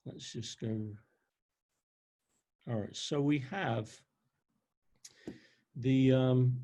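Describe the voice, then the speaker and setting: A man's voice: medium pitch, warm tone. A man with a Liverpool accent giving a chatty live webinar as an NLP trainer, reading out audience answers as he goes. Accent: Liverpool (Scouse). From Liverpool, England.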